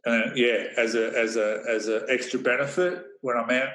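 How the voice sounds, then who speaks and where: A man taking part in a casual group call, plain-spoken and a little halting in his phrasing. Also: medium pitch, steady tone, fairly bright tone. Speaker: program participant. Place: live online video call. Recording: roomy, echoey video-call sound.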